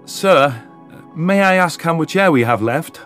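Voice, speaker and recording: A man's voice: on the high side, lively intonation, unhurried, a bit bright, faint music behind, pitched above the performer's own voice. A man playing an anxious, grumbling alien soldier. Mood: nervous, wary. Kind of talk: audio drama character dialogue.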